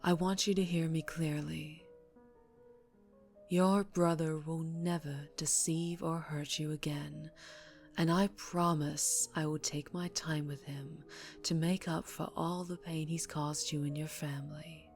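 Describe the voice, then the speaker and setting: A woman playing a dangerously charming female mafia boss in an intimate ASMR roleplay, ruthless yet tender, speaking close and hushed with condescending affection. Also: sits low, fairly even intonation, a little slow, faint music behind. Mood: tender, protective. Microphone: close-up microphone.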